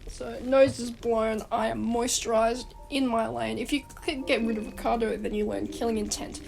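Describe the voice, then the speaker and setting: A woman's voice: on the high side, fairly even intonation, normal speed, creaky, darkish tone, soft background music, rough recording. A woman with a cold and a stuffy nose, rambling casually through a game guide, swearing and restarting her sentences. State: congested from a cold. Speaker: gaming guide host.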